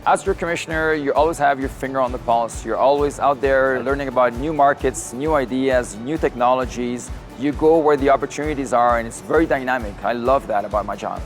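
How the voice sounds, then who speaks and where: A man from Chile, a senior trade commissioner, speaking in an earnest, measured interview tone. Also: medium pitch. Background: background music.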